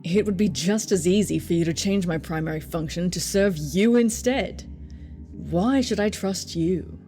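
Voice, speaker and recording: Woman as a slow, grave android assassin. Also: fairly low pitch, warm tone, faint music behind, natural voice. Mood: wary. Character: defeated female android assassin, bitter and guarded. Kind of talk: radio drama acting.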